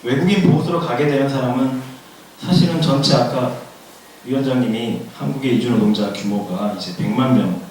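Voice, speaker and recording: Male forum speaker, hoarse from a cold, repeats key words, asks the audience questions; mid-pitched, picked up from a distance in a very echoey room with faint hiss. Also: brisk, darkish tone. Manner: plain explanatory, repeats key words for emphasis. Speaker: forum presenter. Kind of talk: public lecture. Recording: echoey room, far from the mic.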